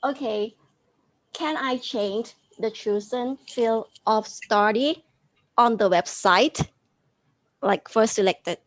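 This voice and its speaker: A woman moderating a webinar Q&A, polite and soft-spoken, thanking speakers. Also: on the high side, slow, low-quality recording. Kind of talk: moderating questions.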